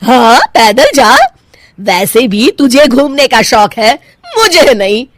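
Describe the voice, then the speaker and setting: A woman voicing a bossy, sharp-tongued older mother-in-law in a cartoon, scolding and sarcastic. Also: on the high side, lively intonation, slow, noisy background. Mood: scolding, smug. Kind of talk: Hindi cartoon voice acting.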